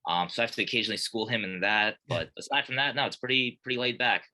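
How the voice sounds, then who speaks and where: Laid-back young man, bright forceful voice. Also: medium pitch, fast, poor audio. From Korea-born, raised in Colorado. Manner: relaxed, rambling, many fillers and restarts. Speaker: guest, fighter and gym manager. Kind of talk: casual interview chat. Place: small office at a gym.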